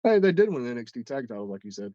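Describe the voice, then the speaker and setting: A man's voice: medium pitch, very animated, racing, dark tone, highs cut off, slight background noise. A man co-hosting a casual podcast, stuffed up and congested, telling a long story in a rambling, profane way with many restarts. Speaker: podcast co-host.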